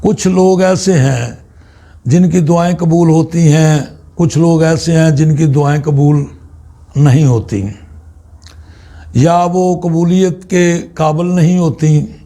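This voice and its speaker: Slow, halting man. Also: fairly high pitch, warm tone. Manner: halting, deliberate, with long pauses mid-sentence. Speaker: Islamic spiritual speaker. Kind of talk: informal religious sermon, unscripted.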